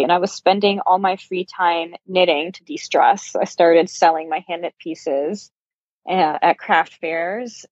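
A woman's voice: lowish voice, a little mellow, no room sound, muffled recording. A middle-aged woman from Brazil being interviewed, telling personal stories in a candid, self-deprecating, conversational way. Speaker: interview guest, yarn shop owner.

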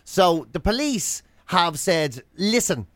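A man's voice: high voice, big pitch swings, slow pace, a bit bright, no echo. An Irish man co-hosting a radio show, telling stories in casual, colloquial comic banter. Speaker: radio show and podcast co-host. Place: close-miked dry studio.

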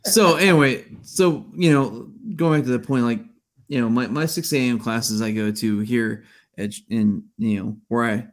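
A man's voice: medium pitch, normal speed. A man in his early forties, a podcast co-host, rambling in casual chat with many fillers and restarts. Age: 40s.

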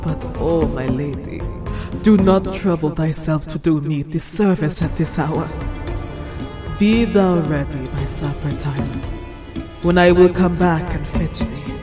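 Woman doing sly flattering old crone, flowery old-time talk, arabian nights radio play, music under it. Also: low-pitched, unhurried. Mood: grateful, fawning. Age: older. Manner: ingratiating, florid, archaic speech. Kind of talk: audio drama acting of an Arabian Nights tale.

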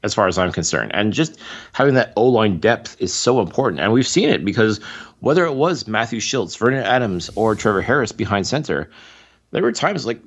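A man's voice: on the low side, expressive, a little fast, husky, muffled recording. A man from Montreal co-hosting a casual sports podcast, talking at length with opinionated, analytical takes on football. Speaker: podcast co-host.

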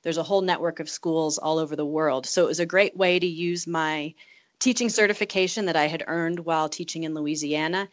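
A woman's voice: deep voice, fairly even intonation, brisk. A woman in her late thirties, from Virginia, answering interview questions thoughtfully with frequent restarts and repeated words. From Virginia, United States. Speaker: guest, government attaché.

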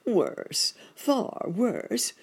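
A high, fretful old rabbit gentleman, as a woman reads him aloud. He wails and frets over a toothache, his voice leaping up in animated, quavering exclamations. The storybook voice has a slightly breathy, gravelly edge. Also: very slow, full character voice.

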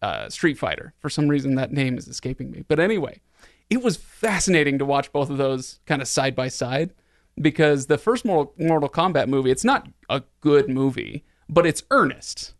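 A man's voice: mid-range voice, animated, moderate pace, no room sound, studio quality. A man talking casually about films as a podcast host, opinionated and repeating words for emphasis. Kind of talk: casual film discussion.

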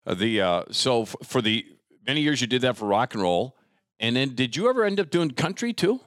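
A man's voice: mid-range voice, very animated, a little fast, fairly bright tone, no echo, clean studio sound. A middle-aged man, hosting a casual conversational interview. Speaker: interviewer, host.

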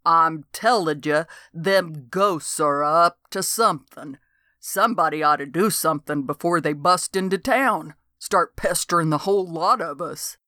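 A man's voice: fairly high pitch, sharp tone, dry recording, studio quality. A woman reading a cranky old country codger. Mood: suspicious. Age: older. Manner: rural drawl, slow and conspiratorial. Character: cranky old country man, suspicious and superstitious.

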